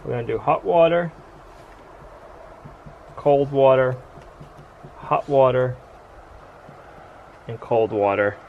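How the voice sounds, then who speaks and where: A man with a mid-pitched, ordinary voice talks through his farm chores and a home experiment in a relaxed vlog style. He explains things step by step in a chatty, down-to-earth way, with a faint hiss of noise under the recording. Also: slow, long pauses, phone-quality sound. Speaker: homestead vlogger. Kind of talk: casual how-to vlog narration. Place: outdoors on a farm in winter.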